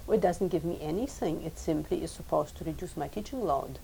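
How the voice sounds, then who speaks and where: A woman whose first language is Lithuanian, a professor and translator, talking in a measured, thoughtful way and repeating words as she thinks. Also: low-pitched, vocal fry, dark tone, a little hiss or hum, rough recording. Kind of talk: recorded oral history interview.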